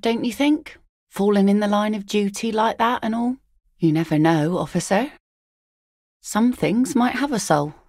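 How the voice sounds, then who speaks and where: A woman's voice: lowish voice, creaky, a little mellow, no room sound, full character voice. A woman voicing a weary, middle-aged widowed fisherwoman from a video game, blunt and working-class, with a dry, sardonic, matter-of-fact way of talking. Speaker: game character.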